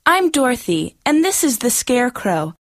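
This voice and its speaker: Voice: medium pitch, big pitch swings, normal speed, a bit bright, no room sound, studio quality, pitched above the performer's own voice. A storyteller voicing a plucky, kind young girl in a storybook, forthright and earnest.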